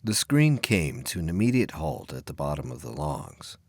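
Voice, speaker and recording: A man's voice: very deep voice, very animated, moderate pace, creaky, darkish tone, dry recording, studio quality. A man narrating a sci-fi audio play in an intense, suspenseful, scripted dramatic style.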